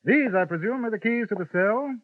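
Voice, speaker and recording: An actor playing a polite, drily menacing gentleman gunman, who also narrates in 1950s radio-drama style. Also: high-pitched, expressive, brisk. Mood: cool menace. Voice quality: crisp, formal, drily menacing. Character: cool, polite gentleman gunman, middle-aged man. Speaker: narrator and lead. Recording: tinny old radio broadcast, faint hiss.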